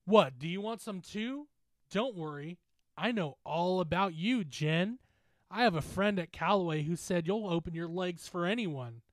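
A man acts out a drunk young bully in a horror story. He is crude and combative, with a raised, loud voice thrown at whoever is in front of him, captured dry and close. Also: sits high, lively intonation, measured pace, dry recording, pitched above the performer's own voice. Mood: aggressive sneering. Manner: taunting, aggressive, shouting.

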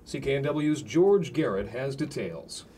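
A man's voice: mid-range voice, expressive, unhurried, dark tone, a little hiss or hum. A man reading scripted radio news as an anchor.

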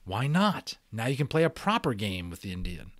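A man's voice: on the low side, very animated, normal speed, a bit bright, dry recording, studio quality. A man voicing a skeptical schoolboy friend in a storybook reading, sarcastic and doubting. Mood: incredulous.

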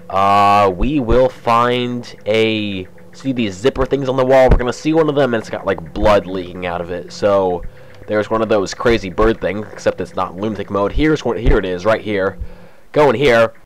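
A young man with a cold and a slightly raspy voice, chatting casually as he narrates a game walkthrough. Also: fairly low pitch, low-quality recording. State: has a cold. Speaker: let's play host. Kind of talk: casual gameplay commentary.

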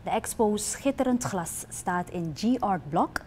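A young woman presenting the news from a television studio in a measured, even newsreader delivery. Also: mid-range voice, a little hiss or hum. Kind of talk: scripted news narration.

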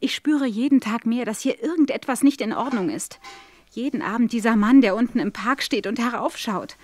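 An actress playing a polite, anxious young governess in a German audio drama, speaking in an earnest, worried, formal manner. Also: female voice, a little high, moderate pace, dry recording, close to an everyday voice. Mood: distressed, indignant. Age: young adult.

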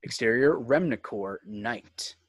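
A man's voice: mid-range voice, animated, slow pace, slightly rough, dry recording. Man narrating a screenplay, dry sound. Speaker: narrator reading stage directions.

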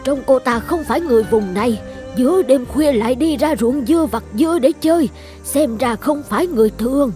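A woman voicing a kindly old village wife in an animated folk tale. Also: sits high, fairly quick, faint music behind. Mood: curious.

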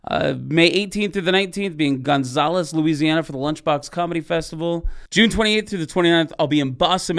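Gravelly man host recovering from a cold. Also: a little high, fairly bright tone. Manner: gravelly, jokey, self-deprecating. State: getting over a cold. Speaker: podcast host and comedian. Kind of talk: casual podcast chat. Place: small studio room. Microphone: studio mic on arm, headphones.

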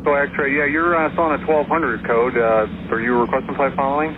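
A man's voice: mid-range voice, steady tone, a little fast, phone-quality sound. A man working as an air traffic controller, giving instructions over aviation radio. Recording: thin, noisy aircraft radio transmission. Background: radio noise.

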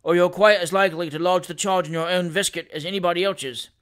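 A man's voice: sits high, fairly even intonation, fast talker, fairly bright tone. Man doing a growling, sardonic gamekeeper. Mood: grumbling. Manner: growling, dry. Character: tall, grumbling, sardonic gamekeeper. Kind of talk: dramatised storybook reading.

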